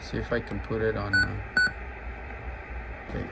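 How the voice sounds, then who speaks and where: Man thinking aloud over clear background noise. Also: lowish voice, flat delivery, moderate pace. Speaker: radio seller demonstrating gear. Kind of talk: casual hands-on product demo.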